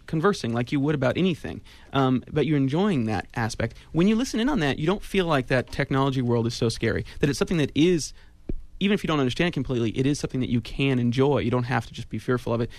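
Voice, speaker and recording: A man's voice: medium pitch, no room sound. A man from California hosting a public radio show, earnestly rambling through a pledge drive in the studio. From California, United States. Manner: earnest, rambling, repeats phone number. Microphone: studio microphone.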